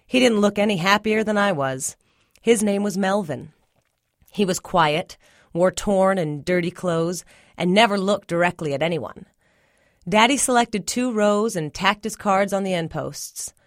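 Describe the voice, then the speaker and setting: Low-voiced woman narrating a story. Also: no room sound, studio quality. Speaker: story reader. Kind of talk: scripted first-person literary narration.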